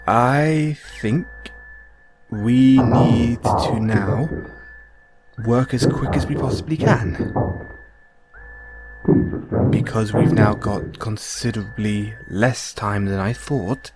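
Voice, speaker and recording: A man with a British accent acting in an audio roleplay as a kindly, anxious, furtive android owner, speaking haltingly with stammers. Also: on the low side, loud music behind the voice, low-quality recording. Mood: flustered, urgent.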